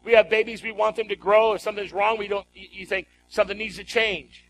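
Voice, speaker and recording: A man's voice: high voice, level tone, quick pace, fairly bright tone, soft background music, rough recording. A man preaching a sermon as a pastor, emphatic and exhorting, repeating phrases and putting rhetorical questions to his congregation.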